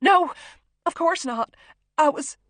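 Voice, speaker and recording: A woman narrator playing a frightened female doctor held hostage in an audiobook, pleading desperately in halting, breathless bursts. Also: high-pitched, a bit bright, no room sound, voice pushed up. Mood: terrified, tearful. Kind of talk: audiobook character acting.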